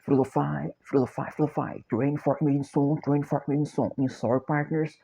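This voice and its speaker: Gravelly Brazilian man chanting slow mantras. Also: fairly high pitch, flat delivery, mellow. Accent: Brazilian Portuguese. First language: Portuguese. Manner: slow, halting, repeats phrases like a mantra. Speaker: self-described copywriter and philosopher. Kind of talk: repetitive chant-like monologue. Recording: dull lo-fi recording.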